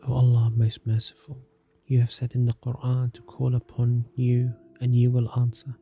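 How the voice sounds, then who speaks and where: A man leads a guided meditation in a soft, soothing, scripted narration, calm and gentle, leaving long unhurried pauses. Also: mid-range voice, husky, dull audio. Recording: music behind the voice. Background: soft music.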